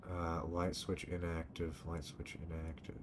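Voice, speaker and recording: Deep male voice, slow pace, casual gaming commentary, muttered swearing. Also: monotone, noisy background. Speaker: game streamer. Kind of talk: casual let's play commentary. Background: video game ambience.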